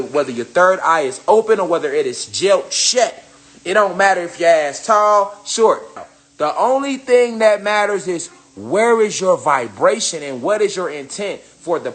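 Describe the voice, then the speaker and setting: Young male spiritual commentator, slightly high voice, loose profane preachy rant. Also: a bit bright. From United States. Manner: profane, preachy, emphatic repetition. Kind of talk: casual rant to camera.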